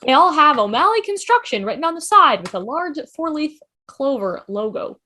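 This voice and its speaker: A woman's voice: high voice, very animated, normal speed, sharp tone. A woman reading scripted stage directions as the narrator of a table read over a video call.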